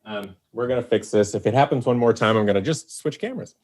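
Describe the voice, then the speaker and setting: A man chatting on a video call, telling animated stories and acting out quoted lines. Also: mid-range voice, fast, a crowd in the background. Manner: animated storyteller, acts out quotes. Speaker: podcast guest, head writer. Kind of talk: casual chat on a video call.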